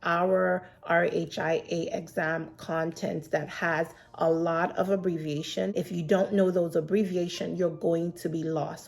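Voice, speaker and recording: A woman's voice: lowish voice, vocal fry. Congested woman giving earnest exam advice. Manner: earnest, encouraging, checks her notes as she talks. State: slightly congested from a cold. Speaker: exam prep vlogger and mentor. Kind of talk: casual advice vlog to camera. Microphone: camera facing her, laptop beside.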